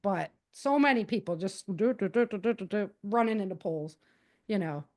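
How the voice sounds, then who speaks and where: A woman's voice: medium pitch, expressive, moderate pace, a little mellow. A woman speaking as a podcast guest, giving practical advice in a casual, conversational way and explaining things with animation.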